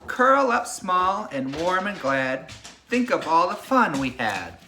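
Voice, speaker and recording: Animated, bright-voiced man reading to children. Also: high-pitched, takes it slowly, slight background noise. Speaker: teacher reading a picture book to a class. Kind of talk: storybook read-aloud with casual chat to children.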